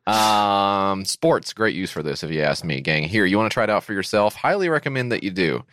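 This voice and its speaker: A man's voice: a little fast, slight background noise. American guy cohosting a comedy pod, jokey and sarcastic, voice jumps around a lot. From United States. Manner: sarcastic, jokey, swings into mock-excited pitches. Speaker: podcast co-host. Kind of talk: comedic podcast banter and read-out ad.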